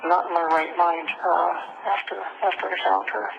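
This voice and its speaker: Male inmate on a noisy phone call. Creaky voice, hesitant casual talk. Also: high voice, flat delivery, normal speed. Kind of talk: casual private phone conversation. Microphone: telephone handset. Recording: noisy jail phone line recording.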